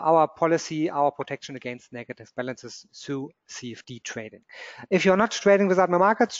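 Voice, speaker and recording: A man's voice: fairly high pitch, no room sound, dull audio. A man with a German accent explaining trading rules in a webinar, sometimes searching for the right English word. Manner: searches for English words, loose phrasing. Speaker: trading expert.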